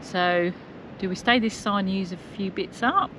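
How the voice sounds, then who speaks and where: A woman's voice: medium pitch, moderate pace, noisy background. A woman chatting informally to camera as a travel vlogger, recorded on a nearby camera. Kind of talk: casual chat to camera. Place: mostly outdoors on open clifftop.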